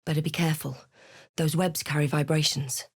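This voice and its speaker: Wary fantasy heroine, low-voiced and dry-recorded. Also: female voice, fairly even intonation, fairly quick, slightly rough, no echo. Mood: determined, wry. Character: adventurous female fantasy hero, wary and wry. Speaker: video game player-character voice actress. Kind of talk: scripted game voice lines and narration.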